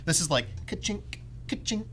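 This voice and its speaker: Animated man chatting about games. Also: high-pitched, moderate pace, slight background noise. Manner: animated, jokey, exclaims and mimics sound effects. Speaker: video game podcast host. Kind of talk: casual chat about video games.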